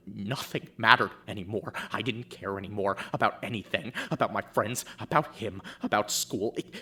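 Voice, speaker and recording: A man plays a shaken teenage boy who halts and stammers, tripping over his own words. The voice sits low and bright. It is a fan audio drama performance. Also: breathy edge, exaggerated voice. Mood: broken, hushed. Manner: halting, stammering, repeats words.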